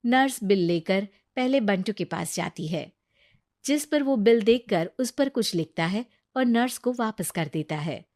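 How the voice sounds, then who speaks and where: A woman's voice: medium pitch, expressive, measured pace, a little mellow, dry recording, studio quality. A woman narrating a scripted moral story for a cartoon.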